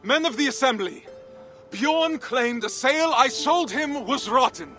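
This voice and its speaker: Give this to a proud Viking warrior, high-pitched for a man and very animated. He declaims with rousing determination, in the dramatic style of a video game cutscene. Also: measured pace, faint music behind, full character voice. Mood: defiant, confident.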